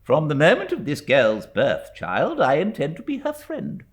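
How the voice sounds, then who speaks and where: A man's voice: a little high, wildly swooping pitch, normal speed, pitched above the performer's own voice. A man voicing a stern, domineering elderly aunt in a storybook reading, with a commanding, clipped and indignant manner. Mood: imperious.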